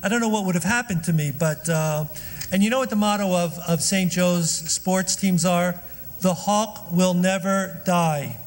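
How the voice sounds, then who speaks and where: A man's voice: high-pitched, measured pace. A man introducing a guest speaker at a synagogue event, chatty and joking, with many fillers. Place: synagogue hall. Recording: long hall reverb.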